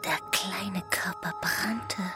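A woman delivering poetic, dramatised literary narration in a breathy, whispery, halting and intimate manner. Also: medium pitch, fairly even intonation. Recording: loud music under the voice.

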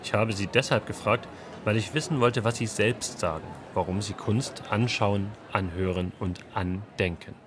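A low-pitched man narrates a radio culture feature from a studio. He reads a script in a reflective, questioning way. A clear music bed plays under his voice throughout. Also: normal speed. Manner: reflective, poses rhetorical questions.

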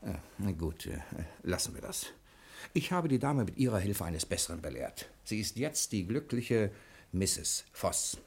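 An actor playing a brash, quick-witted bank cashier with a scheme, sardonic and theatrical, in 1960s German radio drama style. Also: male voice, lowish voice, very animated, gravelly, husky, heavily acted. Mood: grudging, then sly. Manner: sardonic, theatrical, domineering, with emphatic dramatic pauses.

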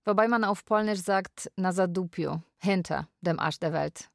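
An actress playing a lonely, wry Polish live-in carer, narrating a radio drama in a deliberate way. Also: female voice, lowish voice, gravelly, no room sound, studio quality. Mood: wry, frank.

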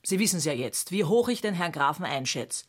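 A woman's voice: sits low, animated, a little fast, slightly rough. An actress playing a nosy, gushing landlady with a Bavarian accent in a radio play, chatting in a colloquial way. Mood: confiding.

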